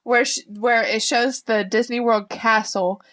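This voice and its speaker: A woman's voice: on the high side, steady tone, a little slow, a bit bright. A woman co-hosting a podcast in casual chat, a little tipsy, teasing and giggling. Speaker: podcast co-host.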